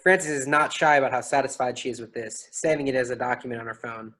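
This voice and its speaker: A narrator reads stage directions for a staged play reading. The voice sits at a middle pitch. The delivery is scripted, describing action between the actors' parts. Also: quick pace, fairly bright tone.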